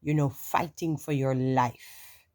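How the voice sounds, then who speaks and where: A woman's voice: extremely low pitch, steady tone, takes it slowly, darkish tone. A woman giving an earnest, preachy motivational monologue, lecturing and repeating points for emphasis. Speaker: social media motivational speaker.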